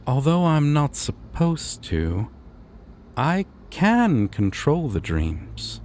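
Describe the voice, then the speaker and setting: A man playing a courteous, solemn god of dreams in a fantasy audio roleplay, with formal, deliberate phrasing. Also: on the high side, huge pitch swings, darkish tone, slight background noise, exaggerated voice. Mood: calm, explanatory.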